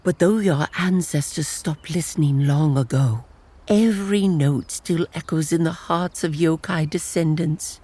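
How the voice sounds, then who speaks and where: An actor voicing a gentle, wise tanuki elder officiating a wedding in a motion comic, speaking in a measured, reverent storytelling manner with a husky voice. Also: mid-range voice. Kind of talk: dramatic motion comic voice acting.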